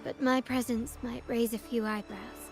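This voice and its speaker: Game heroine, voiced by a woman: elegant young crime-family agent, higher pitch, measured, composed, scored background. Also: steady tone, close to an everyday voice. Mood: cool, poised. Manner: poised, measured, articulate. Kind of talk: video game character acting. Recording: game music underneath.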